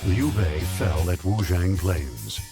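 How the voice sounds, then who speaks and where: An actor narrating a game story in a measured, grave tone. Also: male voice, low voice, animated, exaggerated voice. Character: solemn male story narrator. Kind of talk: scripted narration. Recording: loud game music behind.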